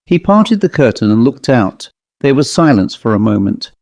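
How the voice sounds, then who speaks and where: Mellow male storyteller, measured. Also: mid-range voice, very animated, dry recording. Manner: measured, literary delivery. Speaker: audiobook narrator. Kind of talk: scripted narration of a ghost story.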